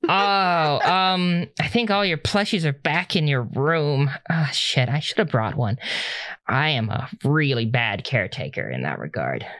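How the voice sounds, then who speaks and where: A man's voice: a little high, lively intonation, measured pace, fairly bright tone, no echo. Man playing a stammering, nasal, nervous doctor. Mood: flustered. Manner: stammering, fumbling for words. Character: nervous, apologetic male research doctor.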